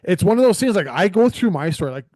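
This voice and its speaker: A Canadian man hosts a casual interview with an earnest, rambling delivery full of "like" and restarts. His voice sits a little high. The sound is close and very dry, with no room in it. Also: expressive, fast talker, mellow, no room sound. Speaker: podcast host.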